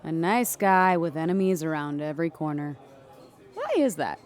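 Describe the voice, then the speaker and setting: A woman's voice: low voice, lively intonation, normal speed, darkish tone. An actress playing an easygoing, protective female starship bartender in a sci-fi radio drama. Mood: supportive, firm.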